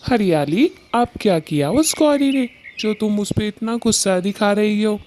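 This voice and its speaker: Woman as a mellow-voiced male cartoon bird husband. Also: mid-range voice, a little slow, close to an everyday voice. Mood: placating. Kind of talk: Hindi cartoon story dialogue.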